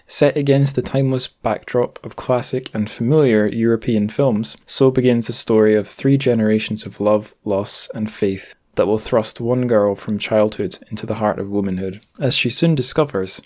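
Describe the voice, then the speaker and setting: A man's voice: lowish voice, fairly even intonation, moderate pace, few pauses, darkish tone. A man hosting a podcast in a casual, chatty way, with wry, self-deprecating asides. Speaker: podcast host.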